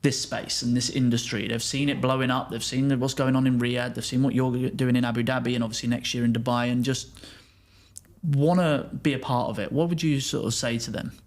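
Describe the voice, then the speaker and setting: A man's voice: mid-range voice, steady tone, a little fast. A man hosting a podcast, asking long, rambling interview questions full of "sort of". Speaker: podcast host.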